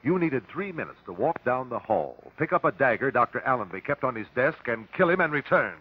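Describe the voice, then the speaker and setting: An actor playing a shrewd, relentless detective at an inquest, measured and authoritative, in vintage radio drama style. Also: male voice, mid-range voice, sing-song, husky. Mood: pressing, accusing. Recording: dull vintage radio broadcast recording.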